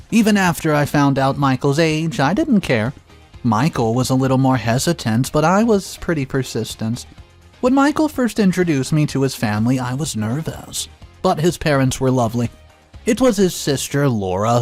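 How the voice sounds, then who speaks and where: Calm man reading a story aloud. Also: mid-range voice. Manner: calm, even storytelling with occasional fillers. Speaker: story narrator. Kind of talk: reading a first-person drama story aloud.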